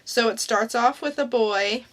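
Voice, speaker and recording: A young woman co-hosting a casual comic book podcast, summing up a story in a chatty, unhurried way. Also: on the high side. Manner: unhurried, chatty, summarizing plots. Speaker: podcast co-host. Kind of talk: casual comic review chat.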